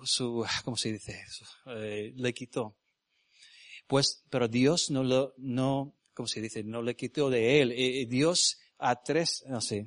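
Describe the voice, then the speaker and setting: American man preaching in halting Spanish. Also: medium pitch, fairly bright tone, no room sound. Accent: American English. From Venezuela. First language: English. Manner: halting, searches for words, asks the audience. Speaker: missionary preacher. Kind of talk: sermon with personal stories. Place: church.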